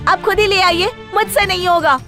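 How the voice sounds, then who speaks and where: A woman's voice: very high voice, moderate pace, over music. A woman playing a sensible, admonishing wife in a cartoon moral story. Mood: scolding. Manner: admonishing, preachy. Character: sensible, scolding wife of a greedy shopkeeper.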